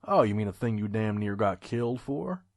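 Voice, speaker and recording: A man's voice: fairly low pitch, expressive, moderate pace, darkish tone, dry recording. A man playing a shrewd, wary pony peddler in an audio drama, with a dry, guarded way of talking. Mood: dry, skeptical. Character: gruff, shrewd male pony scavenger-peddler, wary and mercenary. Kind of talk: audio drama dialogue and narration.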